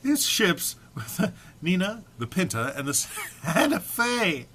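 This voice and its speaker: Animated, bright-voiced man reading dramatically. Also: high-pitched, slow, slight background noise. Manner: theatrical, often breaking into amusement and stumbling. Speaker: amateur reader. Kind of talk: dramatic reading of a humorous text.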